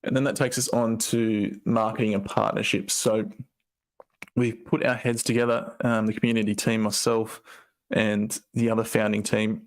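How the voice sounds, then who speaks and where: Low, gravelly man, croaky voice, rambling casual presenter. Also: flat delivery, normal speed. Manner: loose, rambling, with fillers and restarts. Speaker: co-founder presenting update.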